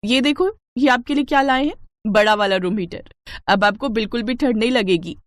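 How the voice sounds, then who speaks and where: A woman's voice: a little high, brisk, no room sound, clean studio sound, lightly acted. A woman voicing a boastful, snobbish younger daughter-in-law in a cartoon story, haughty and taunting.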